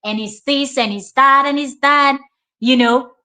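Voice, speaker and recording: A woman's voice: sits high, steady tone, a little slow, bright, forceful tone. A woman with a Nigerian accent giving reaction commentary as host, confrontational and emphatic, repeating her points for stress.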